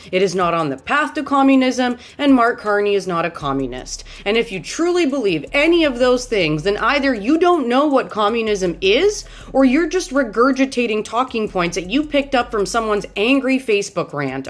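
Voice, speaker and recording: Blunt, exasperated woman from Romania. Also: a little high. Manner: blunt, exasperated, repeatedly asking "okay?". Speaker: political commentator. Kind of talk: direct-to-camera political commentary.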